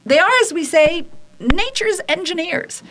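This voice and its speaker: A woman's voice: very high-pitched, animated, a little slow, fairly bright tone, a little hiss or hum, exaggerated voice, rough recording. A woman playing an earnest, defensive 1930s theater director testifying in a radio theater reading.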